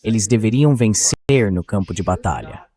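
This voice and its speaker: A man's AI-dubbed voice hosting a political interview, explaining points in a measured way and putting questions to a guest. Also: lowish voice, big pitch swings, dark tone. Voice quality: AI-generated dubbed voice. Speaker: host interviewer. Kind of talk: political interview with read-out quotes.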